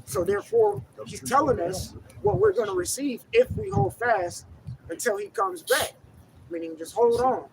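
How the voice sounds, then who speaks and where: High gravelly street preacher, man. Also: big pitch swings, moderate pace, rough recording. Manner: emphatic, calls on reader to go ahead. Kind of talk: street sermon. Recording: outdoor traffic noise.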